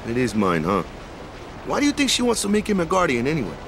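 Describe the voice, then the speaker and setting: A man's voice: on the high side, wildly swooping pitch, fast, noisy background. An actor playing a laid-back, defensive but good-hearted young male athlete in a video game, talking colloquially with tag words. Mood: defensive, casual. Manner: colloquial, tags sentences with "eh", "ya" or "huh". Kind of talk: video game cutscene acting. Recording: music behind the voice.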